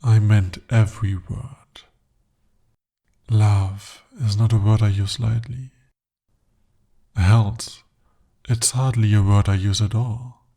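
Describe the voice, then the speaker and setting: A man voicing a devoted, possessive male demon in an ASMR romance roleplay, speaking softly and formally. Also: fairly low pitch, level tone, slow, long pauses. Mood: earnest. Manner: soft, intimate, formal speech. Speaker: voice actor.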